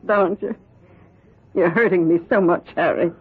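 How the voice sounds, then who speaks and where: Middle-aged woman, anxious and soft-hearted, halting husky voice, vintage radio melodrama with tinny, narrow audio. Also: on the low side. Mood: tender, hesitant. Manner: hesitant, halting delivery. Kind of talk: 1970s radio drama acting. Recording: tinny, band-limited old radio broadcast.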